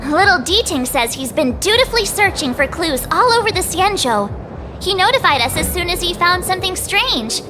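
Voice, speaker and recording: A woman's voice: very high-pitched, big pitch swings, moderate pace. A woman playing a cute, self-assured young girl adventurer in a video game, perky and earnest. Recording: game dialogue over background music.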